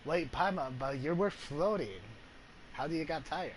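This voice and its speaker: A man's voice: a little high, moderate pace, heavy background noise. A young man giving casual commentary while playing a video game. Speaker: gaming video creator. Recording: loud game music over voice. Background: video game music and sounds.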